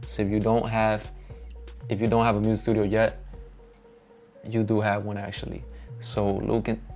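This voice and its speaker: A young man with a Dominican accent giving a relaxed, upbeat tour of his home music studio, encouraging and slangy. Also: fairly low pitch, moderate pace. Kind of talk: casual vlog walkthrough.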